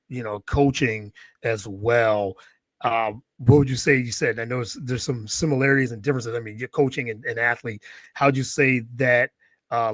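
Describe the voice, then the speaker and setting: Man, podcast host, friendly, rambling restarts, mid pitch, dry close sound. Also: a bit bright, no echo. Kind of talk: live podcast interview.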